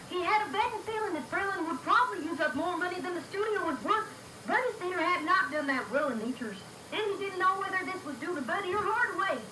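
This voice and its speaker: A high-pitched cartoon pony played by a woman narrates a scripted story. The sound is roomy and clearly noisy, like a homemade reel-to-reel tape. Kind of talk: scripted fan-fiction narration.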